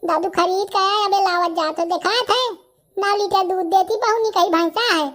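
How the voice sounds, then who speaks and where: A tiny, squeaky male villager pleads his innocence in a comedy cartoon. The voice is extremely high, almost childlike, with a Bundelkhandi accent and a protesting manner. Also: steady tone, normal speed, bright, forceful tone. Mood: eager, defensive. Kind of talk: comic cartoon dialogue.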